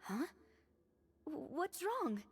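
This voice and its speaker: High, animated young adventurer woman. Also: extremely slow, full character voice. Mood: surprised. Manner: earnest, animated. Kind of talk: video game voice acting. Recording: music under the voice.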